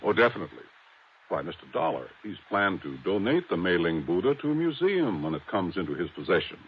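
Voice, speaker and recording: An actor playing a proper, earnest attorney in a 1950s radio mystery, courteous, formal and emphatic. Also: male voice, low voice, sing-song, a little fast, slight background noise. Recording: thin phone-like vintage radio recording.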